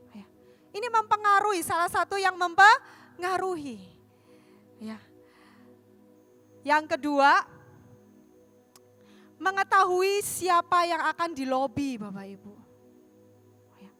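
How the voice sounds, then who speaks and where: A woman from Surabaya with a Javanese accent, an evangelist teaching a church class in a lively storytelling way, often asking the audience questions. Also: extremely high pitch. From Surabaya, East Java.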